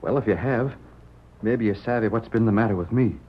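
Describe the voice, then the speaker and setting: An actor playing a self-doubting Old West rancher, folksy and hesitant, with an American Western accent in a radio drama. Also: male voice, on the low side, fairly quick, lightly acted. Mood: resigned, ashamed. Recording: muffled vintage radio drama.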